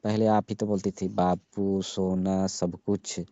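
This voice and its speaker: Young man, deep voice, pleading, insistent, emotional phone talk, muffled dry call recording. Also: level tone, slow pace, warm tone, dry recording. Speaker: caller, jilted lover. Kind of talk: casual emotional phone conversation.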